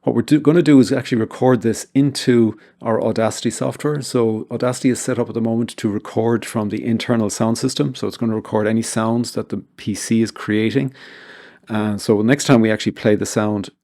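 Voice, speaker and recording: A man narrating an informal software tutorial as its host, explaining things step by step. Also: fairly low pitch, steady tone, a little fast.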